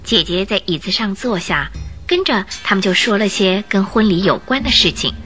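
A woman's voice: mid-range voice, expressive, moderate pace, fairly bright tone. A woman narrator reading scripted storytelling narration for a radio drama. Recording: radio drama with music behind.

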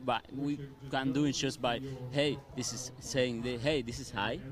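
A man from Cuba with a Cuban Spanish accent, speaking English as a second language in halting, restart-filled casual chat at an event. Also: mid-range voice, steady tone, a crowd in the background. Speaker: community guest. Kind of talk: casual live-stream chat. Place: outdoor event lounge.

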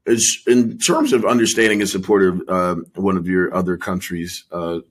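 A man hosting a casual sports discussion, speaking in a measured way with wry teasing. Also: low-pitched, breathy edge. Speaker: sports podcast host.

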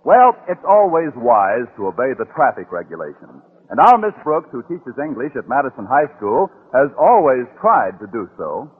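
A man's voice: mid-range voice, sing-song, normal speed, hardly any pauses, slightly rough. A man announcing a sponsored comedy show in the mid-century radio style. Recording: old radio broadcast, phone-like narrow band.

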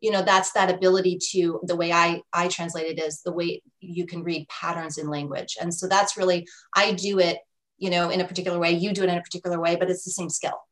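A woman's voice: fairly low pitch, flat delivery, fast talker, rough recording. A woman life coach who used to teach languages, explaining her ideas earnestly in an interview, often adding "you know" and "right?". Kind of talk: interview answers.

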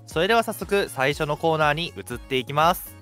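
A man's voice: on the high side, animated, a little fast, a bit bright, faint music behind. A man co-hosting a casual radio show, chatting in a jokey, teasing manner. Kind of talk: casual co-hosted radio chat.

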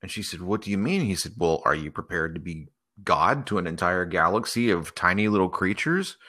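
A man improvising a story aloud in an animated way, acting out the dialogue as he goes. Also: low voice, brisk. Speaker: host.

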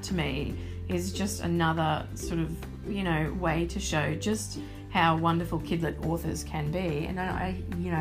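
An Australian woman co-hosting a podcast in casual chat, speaking in an enthusiastic, emphatic way. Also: fairly low pitch. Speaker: podcast co-host.

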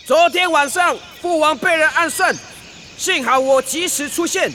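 A man acting a very high, piercing young prince. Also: a little slow, bright, forceful tone, slight background noise, heavily acted. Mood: vengeful, rallying. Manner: emphatic, declamatory. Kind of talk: radio drama acting.

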